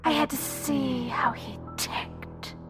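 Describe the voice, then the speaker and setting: A woman's voice: sits high, fairly even intonation, slow pace, over music. An actress playing an ancient serpent monster posing as a seductive woman, mocking her prey in a sing-song, mock-sweet way for an audio drama. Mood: scornful.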